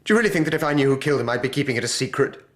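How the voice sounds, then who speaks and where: An actor playing a defiant, exasperated young murder suspect, emotional and defensive in a radio drama. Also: male voice, mid-range voice, animated, very fast, fairly bright tone, natural voice.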